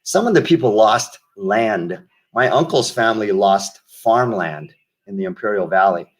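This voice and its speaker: An older man from the United States speaks in a lowish voice as an interview guest. He tells his stories slowly and reflectively, doubling back and restarting his sentences. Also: lively intonation. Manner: reflective storyteller, many restarts and asides. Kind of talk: casual interview conversation.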